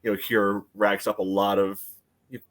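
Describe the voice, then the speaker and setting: Male sports podcast panelist, lowish voice, conversational, hesitant and filler-heavy phrasing. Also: flat delivery, a little fast. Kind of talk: casual sports podcast discussion.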